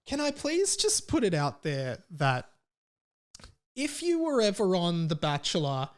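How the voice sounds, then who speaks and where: Higher-pitched Australian man, chatty and irreverent. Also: huge pitch swings, measured pace. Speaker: solo podcast host. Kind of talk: casual comedic rant.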